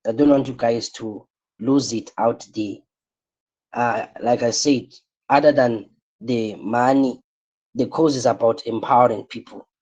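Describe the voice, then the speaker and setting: A man's voice: medium pitch, fairly even intonation, measured pace, darkish tone, muffled recording. A tired man, coaching a live online class and repeating his points with rhetorical questions. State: tired, voice strained.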